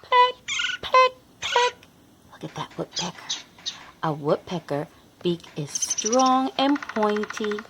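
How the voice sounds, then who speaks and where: Woman reading to children, very animated pitch. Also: takes it slowly, background noise. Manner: expressive, addressing young children with questions and exclamations; acts out animal sounds. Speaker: host and reader of a children's read-along. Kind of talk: children's picture-book read-aloud.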